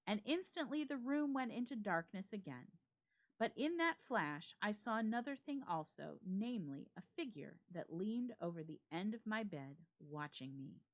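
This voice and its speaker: A woman storyteller giving a suspenseful, expressive dramatic reading of a ghost story with deliberate phrasing. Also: medium pitch. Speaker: storyteller, library reader.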